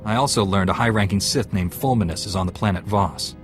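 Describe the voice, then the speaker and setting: An actor playing a calm, dutiful heroic knight in a video game, measured and sincere. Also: male voice, fairly low pitch, faint music behind, lightly acted. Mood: calm, earnest. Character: earnest, dutiful male Jedi Knight, calm hero.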